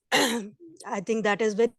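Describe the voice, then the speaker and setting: A hoarse woman presenting at a virtual conference session, earnest, with fillers and restarts. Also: a little high, fairly even intonation, extremely slow, no room sound, studio quality. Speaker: invited speaker. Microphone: computer mic on video call.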